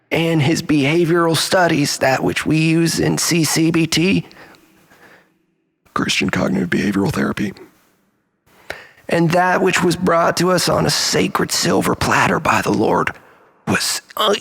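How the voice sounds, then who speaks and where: Creaky-voiced man in a theatrical, brooding monologue. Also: on the high side, fairly bright tone. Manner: theatrical, brooding, often dropping to breathy near-whispers. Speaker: storyteller, monologist. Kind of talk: dramatic confessional spoken-word monologue.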